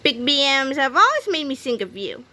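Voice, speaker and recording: A woman's voice: high-pitched, sing-song, moderate pace, bright, forceful tone, pitched above the performer's own voice, heavily acted. A woman voicing a sly, cheeky schoolboy prankster in a children's storybook, with a teasing manner.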